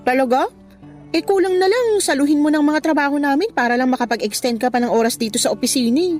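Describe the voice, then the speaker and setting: A woman's voice: sits high, quick pace, soft background music, lightly acted. A young woman with a Filipino accent playing a nosy, caring, insistent office co-worker in a radio drama. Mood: probing, teasing. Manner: probing, expressive.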